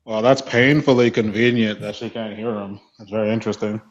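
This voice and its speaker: A man's voice: on the low side, fairly even intonation, brisk, highs cut off, crowd noise. A Canadian man in a casual group voice chat, bantering and swearing in a joking way.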